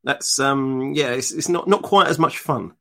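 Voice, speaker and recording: A man's voice: mid-range voice, normal speed, creaky, pushed and bright. A man with a London accent co-hosting a live chat show, blunt and sweary with dry humour. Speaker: podcast co-host. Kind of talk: casual live-stream chat.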